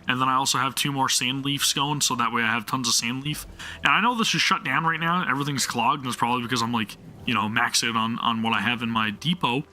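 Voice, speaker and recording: A man's voice: mid-range voice, bright, forceful tone. A man live-streaming a game, talking to viewers and thinking aloud in a casual way, often questioning himself.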